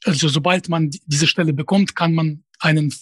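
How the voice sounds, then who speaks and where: Husky man with a Russian accent. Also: fairly high pitch, fairly even intonation, brisk, fairly bright tone, no room sound, clean studio sound. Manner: hesitant, restarts phrases, direct. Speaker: professor and YouTuber, interview guest. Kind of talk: conversational interview answers.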